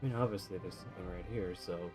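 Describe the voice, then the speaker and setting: A man's voice: low voice, quick pace, over music. A young man casually commenting on his gameplay, rambling with lots of fillers and laughs. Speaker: gameplay streamer.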